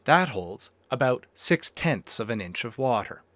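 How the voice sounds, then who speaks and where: A man giving scripted, clear and steady narration for an instructional farming video. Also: medium pitch, a little slow, no room sound, studio quality. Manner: clear, steady explanatory delivery.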